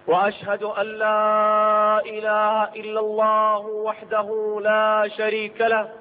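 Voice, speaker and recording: A man delivering a solemn, declamatory Friday sermon as a preacher in a mosque. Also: high voice. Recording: tinny phone-like sound in a reverberant hall.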